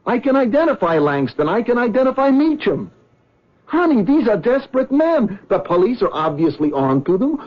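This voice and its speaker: A nervous, earnest cab driver husband from a 1970s radio drama. His husky voice sits a little high and swings widely in pitch as he frets and stammers. The sound is dull and lo-fi like an old broadcast. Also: big pitch swings, moderate pace, exaggerated voice. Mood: agitated.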